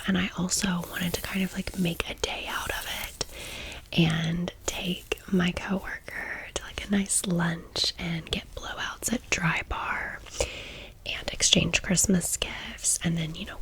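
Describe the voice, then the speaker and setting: A woman with an American accent speaks in a hushed, low-pitched whisper at an unhurried pace. She tells her story like an ASMR host confiding in a friend, rambling into small asides as she goes. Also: gravelly, low-quality recording.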